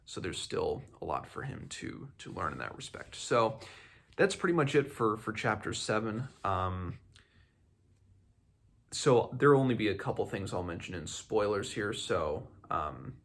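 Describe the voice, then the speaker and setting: A man hosting a book read-along, talking casually and haltingly as he thinks aloud. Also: fairly low pitch, very animated, fairly bright tone.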